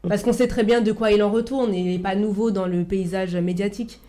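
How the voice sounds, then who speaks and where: Panelist: woman, middle pitch, hesitant, full of restarts. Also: steady tone, brisk, dark tone. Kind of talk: casual debate.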